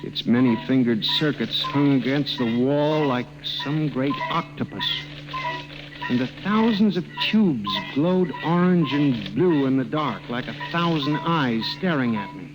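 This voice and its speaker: A man's voice: medium pitch, expressive, a little slow, close to an everyday voice, low-quality recording. An actor playing a timid, earnest male statistician in an old-time radio drama, with a hesitant, dramatic delivery. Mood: humiliated, uneasy. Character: timid, earnest, humiliated male office statistician narrating his ordeal. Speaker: narrator and lead character. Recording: vintage radio recording with music.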